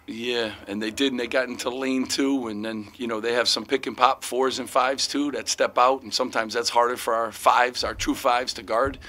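Man, middle-aged, basketball coach, bright tone, table mic, rambling with fillers. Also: mid-range voice, fairly even intonation, normal speed, few pauses, slight background noise. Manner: rambling, frequent "you know" fillers and restarts. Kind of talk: postgame press conference answers. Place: press room in front of sponsor backdrop. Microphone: table mic in front of him.

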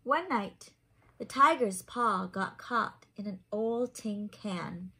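Woman doing kids story time, really lively pitch, bit husky, takes it slow. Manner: animated, simple questioning asides to children. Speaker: children's story time reader. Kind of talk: expressive picture-book read-aloud with asides to young listeners. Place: small home room, indoors.

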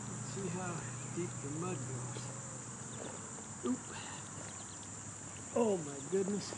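A man wading through a farm pond and thinking aloud in a casual, halting way, stopping to correct himself, recorded from the bank by a distant camera. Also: sits high, lively intonation, very noisy. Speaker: farmer measuring his pond. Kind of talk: casual talk while wading. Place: outdoors, wading in a duckweed-covered farm pond. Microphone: far camera on the bank. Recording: far-off outdoor camera mic, loud insects. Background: loud insects and pond ambience.

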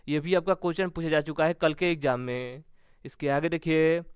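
A man's voice: fairly high pitch, smooth, no echo, clean studio sound. A man teaching an exam revision lesson, methodically reading out each question and then giving its answer.

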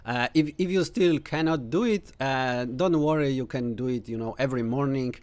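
A man's voice: medium pitch, a little mellow. A man in his thirties with a Polish accent, a native Polish speaker, casually commentating a chess game to camera from a room at home. He talks unhurriedly with lots of "uh" fillers and playful asides. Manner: unhurried, thinking aloud with many uh fillers, playful teasing asides. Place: home room with bookshelves, indoors.

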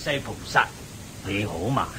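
An actor playing a wise, shrewd old lay sage with a measured teaching manner in a radio drama. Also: male voice, lively intonation, gravelly, pushed and bright, exaggerated voice, rough recording. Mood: genial. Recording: faint rumbling hiss.

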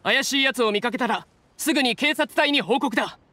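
An actor playing a vigilant, determined young citizen on watch in a Japanese video game, serious and assertive. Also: male voice, very high-pitched, a little fast, sharp tone, slight background noise. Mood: watchful.

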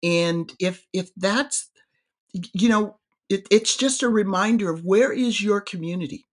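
A middle-aged woman, a seminary professor, who speaks in a halting way and searches for her words during a casual theological discussion on a video call from her home office. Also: mid-range voice.

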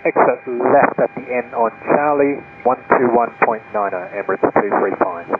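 A man's voice: mid-range voice, moderate pace. A man, an airline pilot, reading back instructions to air traffic control over cockpit radio. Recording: narrow, noisy aviation radio link.

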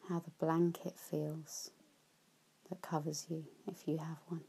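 A woman leading a guided yoga nidra relaxation, speaking softly and often trailing into whispers at phrase ends. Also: sits low, flat delivery, gravelly, a little hiss or hum. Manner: soft, slow, with whispered phrase endings.

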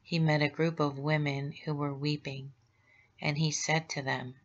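A woman speaking as a gentle, grieving mother of Jesus in a tender, slow and solemn devotional meditation. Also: deep voice, little pitch movement, lo-fi sound. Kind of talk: devotional meditation read aloud.

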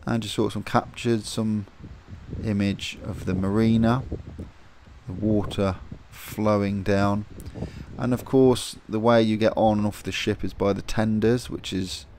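Man, lowish pitch with some fry; relaxed, chatty vlog host filming outside on a phone. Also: unhurried, a little hiss or hum. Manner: relaxed, chatty, thinking aloud with fillers. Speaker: vlogger. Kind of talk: casual vlog narration.

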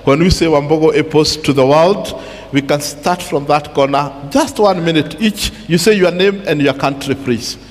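Higher-voiced man in reverberant hall. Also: normal speed. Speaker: event host. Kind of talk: live event address, instructing.

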